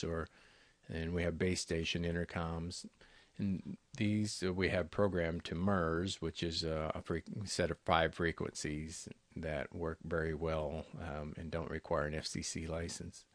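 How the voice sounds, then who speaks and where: Male product presenter, low register, conversational and slightly halting delivery, close dry sound. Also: little pitch movement, no echo. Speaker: intercom company salesperson presenting products. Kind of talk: unscripted product walkthrough over screen recording.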